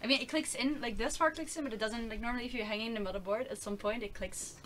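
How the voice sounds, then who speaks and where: A woman's voice: on the high side, quick pace. Laid-back young woman with a noisy background. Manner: relaxed, hedging with likes. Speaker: streamer. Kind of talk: casual chat while building a PC.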